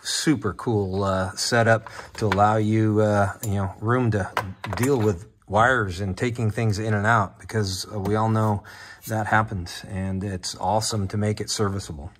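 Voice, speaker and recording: Low-voiced man giving a casual how-to. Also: steady tone, measured pace, a little hiss or hum. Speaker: how-to video presenter. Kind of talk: casual off-the-cuff installation walkthrough.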